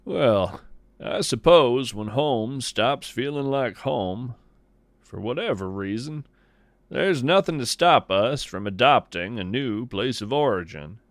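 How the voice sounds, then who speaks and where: Guy doing a gentle whimsical naturalist podcast host, unhurried, folksy and wry, really dry close sound. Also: medium pitch, expressive, dry recording. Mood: reflective, cozy. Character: whimsical, gentle naturalist host chatting over breakfast at home. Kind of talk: scripted whimsical monologue, conversational storytelling.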